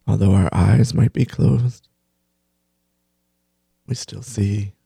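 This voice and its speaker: Male meditation teacher: very soft dark tone, breathy, unhurried, gently pausing, close dry sound. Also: on the low side, steady tone, no room sound. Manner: gentle, unhurried, pausing between short phrases. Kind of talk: guided meditation.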